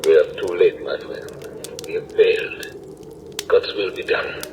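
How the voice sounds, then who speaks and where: An actor playing a solemn, commanding foreign professor who hunts vampires, speaking with ominous, emphatic, halting phrasing in 1930s radio horror style on an old noisy recording. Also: male voice, sits low, far from the mic. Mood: grief-stricken.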